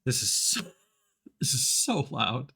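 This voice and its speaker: A man's voice: medium pitch, sing-song, slow pace, sharp tone, breathy edge, faint music behind. A man thinking aloud in an informal, self-deprecating way while commentating on a gaming livestream. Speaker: video game live streamer. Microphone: headset microphone.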